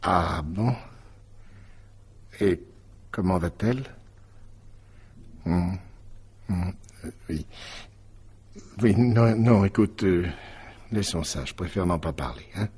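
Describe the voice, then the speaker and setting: A man acting a weary, reticent fellow in a French radio mystery drama, talking in a casual, halting, reluctant way. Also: deep voice, a little mellow, breathy edge, exaggerated voice. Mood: weary reluctance. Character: weary, reticent man declining company, informal.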